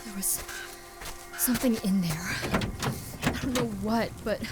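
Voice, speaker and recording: A woman's voice: mid-range voice, loud music behind the voice, close to an everyday voice. An actress playing a young woman lost in a haunted corn maze, wary and then frightened, in a radio play. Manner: wary and frightened, quick exclamations. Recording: clear background noise and ambience.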